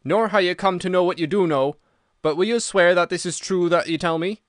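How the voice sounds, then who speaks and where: A man's voice: high voice, brisk, no echo, studio quality, voice pushed up, close to an everyday voice. A man voicing a bitter, broken older ex-soldier in an audiobook, telling his story with vehemence. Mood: agitated. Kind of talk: audiobook character reading.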